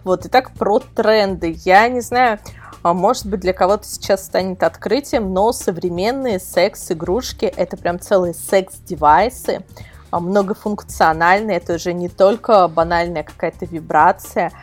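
A woman's voice: on the low side, normal speed, faint music behind. A woman speaking as a podcast guest, explaining things casually and wordily, with many qualifiers. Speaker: invited guest, editor of an adult toy website.

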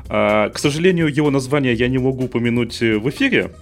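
A man's voice: medium pitch, lively intonation, fast talker, faint music behind. A man hosting a podcast in a casual, conversational way. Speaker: podcast host.

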